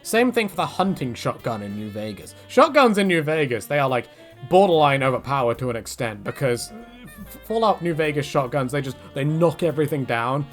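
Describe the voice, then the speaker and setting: A man's voice: mid-range voice, animated, a little fast, faint music behind. A man casually answering viewer questions in a rambling way, with many fillers and restarts.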